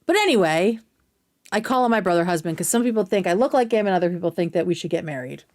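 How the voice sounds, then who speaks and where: A woman's voice: fairly low pitch, animated, quick pace, a little mellow. A woman hosting a livestream with casual, opinionated commentary, speaking bluntly and swearing freely. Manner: blunt, profane. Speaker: streamer, host.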